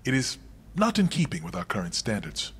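Husky, pompous henchman. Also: lowish voice, wildly swooping pitch, brisk, a bit bright, in a large hall, heavily acted. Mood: haughty. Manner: pompous, precise wording. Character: formal, pompous male criminal right-hand man. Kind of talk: cinematic video game acting.

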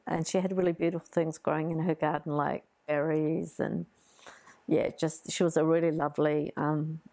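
A woman in her sixties, born in Greece, talking slowly and reflectively about her childhood, pausing often, seated in her art studio. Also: low voice, gravelly, darkish tone. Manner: thoughtful, hesitant, many pauses and fillers. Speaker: artist interviewee. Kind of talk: reflective personal storytelling.

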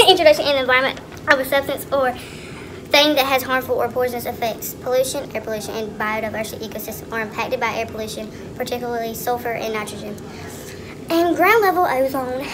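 A teenage girl reading a school presentation off a paper in a rushed, stumbling way, recorded in a classroom. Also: on the high side, background noise. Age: teens. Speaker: student presenter.

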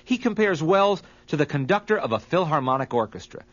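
A man's voice: fairly high pitch, big pitch swings, fairly quick, a bit bright. A man reading scripted narration as the narrator of a documentary. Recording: slightly dull, lo-fi audio.

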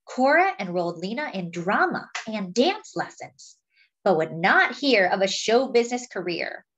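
A woman reading a children's picture book aloud as a story-time host, with deliberate phrasing for young listeners. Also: medium pitch, sing-song, a bit bright, lo-fi sound, a little hiss or hum.

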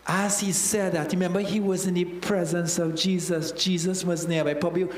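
A man's voice: high-pitched, fairly even intonation, moderate pace. A man in his fifties with a Trinidadian accent, preaching a sermon into a handheld mic and acting out Bible characters with local slang. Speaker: church elder preaching. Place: church hall with stage. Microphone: handheld microphone. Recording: roomy church hall reverb.

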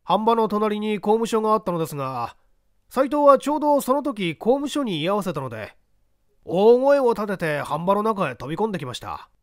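A man's voice: sits high, very animated, a little fast, dry recording, clean studio sound, pitched above the performer's own voice. A man voicing an earnest male labourer giving emphatic witness testimony in an audiobook reading. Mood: earnest, urgent. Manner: emphatic, insistent.

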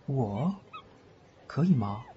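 Gentle lonely godfather played by a man, muffled. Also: medium pitch, sing-song, extremely slow, a little hiss or hum. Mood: tender, moved. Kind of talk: Chinese audio drama acting. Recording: muffled recording with music behind.

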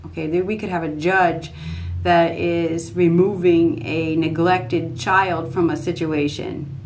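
A woman in her fifties giving an unscripted tarot reading at a desk in a small dark room, repeating herself emphatically as she thinks aloud. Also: lowish voice, fairly even intonation, slow, a little hiss or hum. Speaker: tarot reader.

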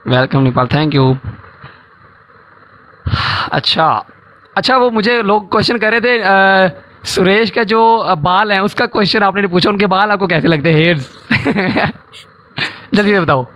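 Young man hosting a live stream, high voice. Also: unhurried, pauses often, fairly bright tone. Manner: pleading with viewers, repeating thanks. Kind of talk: casual live stream chat. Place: indoor room, video call. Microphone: headset.

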